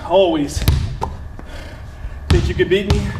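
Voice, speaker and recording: A man's voice: sits high, slow, over loud music. An actor playing a cocky, ambitious young advertising man in a stage play, smug and self-assured. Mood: sly, cocky. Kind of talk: live stage play acting. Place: theatre stage, recorded from far off. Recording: distant roomy theatre recording, faint noise.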